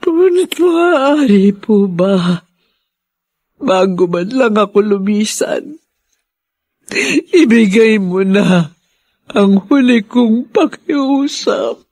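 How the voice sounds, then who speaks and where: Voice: mid-range voice, lively intonation, a little mellow, no room sound, exaggerated voice. An actor playing an anguished dying person praying and pleading with God in a Filipino radio drama. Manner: slow, pleading, prayerful.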